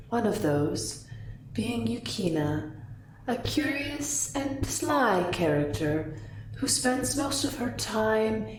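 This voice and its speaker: Woman as a slow, echoing sly fox-woman storyteller. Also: fairly low pitch, a little mellow, voice pushed down. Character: sly, sultry female kitsune fox wizard storyteller. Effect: voice filter with echo. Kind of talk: scripted backstory narration. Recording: echoey, distant, faintly noisy.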